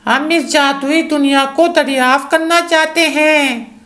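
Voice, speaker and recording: A storyteller voicing an eager, excitable little boy with a high, childlike voice. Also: a little slow.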